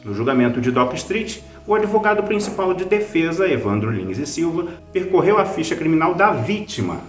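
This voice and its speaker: A man's voice: on the high side, very animated, moderate pace, reverberant, faint music behind. A Brazilian man hosting a recommendation video in a conversational, scripted review style. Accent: Brazilian Portuguese. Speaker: video host recommending podcasts.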